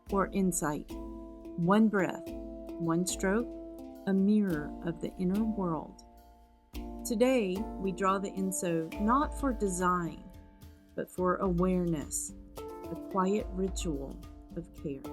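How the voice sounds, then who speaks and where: A woman narrating a scripted guided meditation in a soft, gentle, calm and soothing way, as the guide of the practice. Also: medium pitch. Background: instrumental music.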